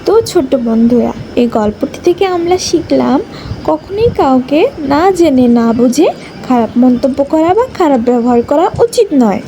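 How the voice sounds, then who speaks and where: A woman's voice: high-pitched, animated, moderate pace, dark tone, soft background music, poor audio. A woman narrating a scripted story for young children as a storyteller.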